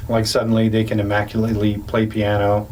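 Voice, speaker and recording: A man with a lowish voice makes dry, sarcastic asides in a casual chat. He sounds a little back from the mic, and a faint hiss runs underneath. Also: completely flat delivery, a little fast, darkish tone, far from the mic. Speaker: podcast co-host.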